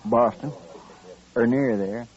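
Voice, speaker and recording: Guy doing folksy rural american deputy, eager n hesitant, medium pitch, muffled old radio show. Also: takes it slowly, darkish tone, close to an everyday voice. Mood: earnest, deferential. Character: earnest, folksy, deferential western deputy sidekick man. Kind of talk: old-time radio western acting. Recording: dull vintage radio recording.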